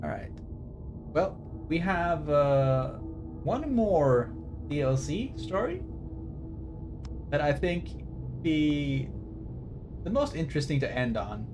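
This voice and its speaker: A man's voice: on the high side, big pitch swings, slow pace, pauses often. A man streaming a game, giving casual live commentary in a rambling, repetitive way. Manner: rambling, repetitive, sweary reactions. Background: game music.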